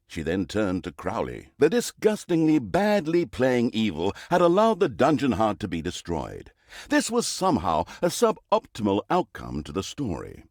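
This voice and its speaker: Sardonic, wildly swooping fantasy game storyteller. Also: male voice, mid-range voice, no echo, studio quality, full character voice. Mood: dramatic, amused, then dryly mocking. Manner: wry, mock-grand, fourth-wall-breaking storyteller. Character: sardonic, theatrical male storyteller of an evil fantasy realm. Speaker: video game narrator. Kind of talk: theatrical scripted comic fantasy narration.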